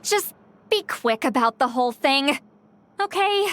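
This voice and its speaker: A woman's voice: high voice, lively intonation, a little slow, a bit bright, a little hiss or hum. An actress playing a youthful, proud, sulky shaman girl in a video game, with a pouty manner. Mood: flustered, sulky. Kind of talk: video game character dialogue.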